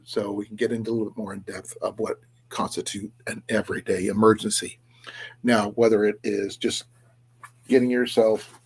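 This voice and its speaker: A man with a slightly raspy voice hosting a casual livestream, talking in a halting, rambling way with restarts and trailing asides as he chats with viewers. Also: lowish voice, a little mellow. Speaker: livestream host of an outdoors and preparedness channel. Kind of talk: casual livestream chat, reading and answering viewers' comments.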